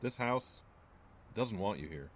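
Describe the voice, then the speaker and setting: A gruff small-town landlord of a haunted house, with a fairly deep voice. He is curt, guarded and folksy with an ominous edge, as in a radio play, over faint ambient noise. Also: animated, slow. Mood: grim, wry. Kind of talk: audio drama acting.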